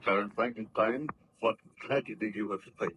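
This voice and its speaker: An actor playing a stern, formal official in an old-time radio horror play, with a measured, stagey delivery. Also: male voice, on the low side, close to an everyday voice. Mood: concerned. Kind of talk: 1930s radio horror acting. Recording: noisy old-time radio drama recording.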